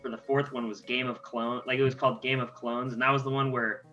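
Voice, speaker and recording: Very sharp-voiced man, slightly lo-fi. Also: mid-range voice, level tone, quick pace. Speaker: podcast host. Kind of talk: casual talkback podcast chat. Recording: dull lo-fi sound, music behind.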